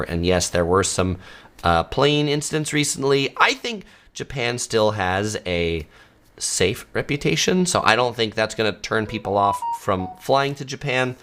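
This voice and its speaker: Male travel host, low-pitched, unhurried, filler-heavy conversational delivery, live Q&A feel. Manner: relaxed, conversational, many ums and uhs. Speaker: travel channel host answering viewer questions. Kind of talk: casual live Q&A. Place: home studio.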